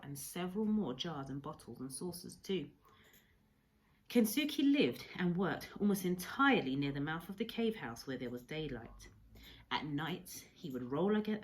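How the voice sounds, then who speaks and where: A woman reading a storybook aloud like a teacher to pupils, in a measured, expressive storytelling manner. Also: sits low. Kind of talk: read-aloud storybook narration.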